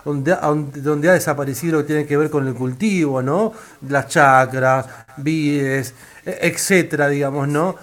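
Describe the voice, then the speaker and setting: A man's voice: fairly high pitch, a little slow. Hesitant radio host, man. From Argentina. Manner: hesitant, many fillers and restarts. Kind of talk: radio interview.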